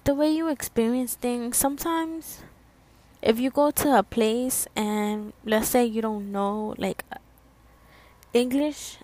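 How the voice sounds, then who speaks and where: Slow-spoken young woman, rambling. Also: on the high side, a little mellow. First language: Spanish. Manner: rambling, many fillers and restarts. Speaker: student podcast host. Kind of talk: casual solo podcast talk.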